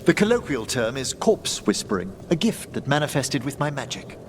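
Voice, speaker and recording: An actor playing a courteous, scholarly older necromancer in a video game, with a formal, precise, theatrical manner. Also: male voice, medium pitch, very animated, moderate pace, slight background noise. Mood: brisk, assured. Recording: music behind the voice.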